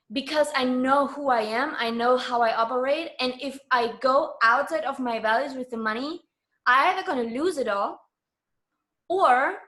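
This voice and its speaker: A woman with a high voice, speaking as a language. She talks like a guest in a casual interview, sure of herself and informal. Her delivery is emphatic as she explains her views. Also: fairly bright tone. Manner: emphatic, self-assured, informal.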